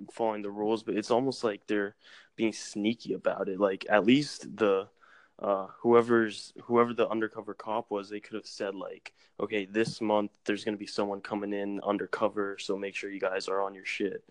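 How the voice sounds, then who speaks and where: A man's voice: fairly low pitch, flat delivery, moderate pace, dry recording. A man hosting a casual podcast, mostly agreeing with short replies and asking questions. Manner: agreeable, brief backchannels.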